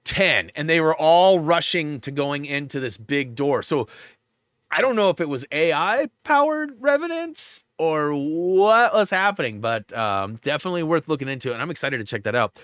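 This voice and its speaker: A man's voice: a little high, sing-song, moderate pace, a bit bright, no echo, clean studio sound. A man hosting a gaming livestream in a rambling, casual way, full of fillers, and a bit stuffed up. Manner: rambling, filler-heavy, lots of "like". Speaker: livestream host.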